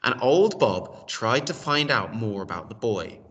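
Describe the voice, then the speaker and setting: A man reads a children's story with hugely varied intonation. His voice is bright and mid-pitched, heard from slightly away from the microphone. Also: very animated, measured pace, recorded from a distance. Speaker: storytelling reader. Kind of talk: expressive children's story reading.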